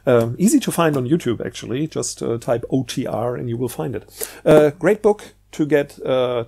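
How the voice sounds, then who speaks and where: A man giving a casual, rambling book review in a halting way, often repeating words. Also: medium pitch, creaky, warm tone.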